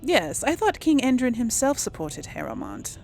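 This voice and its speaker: A woman's voice: on the high side, big pitch swings, moderate pace, vocal fry, a little mellow, soft background music. A woman doing casual video game commentary, rambling and thinking aloud in an unsure way. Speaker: video game let's-play host.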